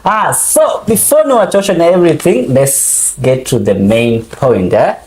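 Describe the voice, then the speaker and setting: A man's voice: a little high, lively intonation, unhurried, a little mellow. A man with a Kenyan accent hosting an interview show in a playful, casual way, switching between Swahili slang and English. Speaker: show host. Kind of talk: casual chat.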